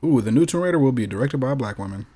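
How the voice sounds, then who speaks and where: A man's voice: mid-range voice, lively intonation, racing, dark tone, soft background music. A man talking casually as a co-host, slangy and opinionated, repeating phrases for emphasis. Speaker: gaming show co-host. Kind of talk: casual opinionated chat about video game movies.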